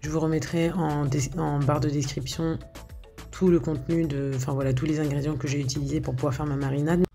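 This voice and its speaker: A woman narrating her home cooking in a relaxed, halting way, stopping often to fill gaps and restart her sentences. Her voice is low-key and mellow with a gravelly fry at the ends of phrases. Faint music plays underneath. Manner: hesitant, many filler sounds and restarts. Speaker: home cook showing her recipes. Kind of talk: casual voice-over for a cooking video.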